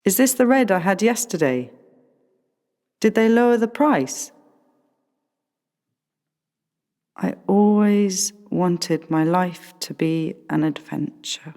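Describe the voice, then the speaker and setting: A woman playing a whimsical, musing character in a radio play, with deliberate, drawn-out phrasing. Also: medium pitch, lively intonation, a little mellow. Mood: questioning. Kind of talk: slow, stylised art radio play delivery. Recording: long reverb, music behind the voice.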